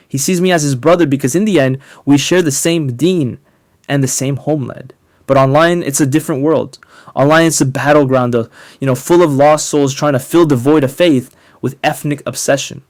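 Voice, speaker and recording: A man delivering a polemical monologue as an online commentator, speaking emphatically and rhetorically with deliberate pauses on key words. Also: mid-range voice, no room sound, clean studio sound. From North Africa. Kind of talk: polemical monologue to camera, partly scripted.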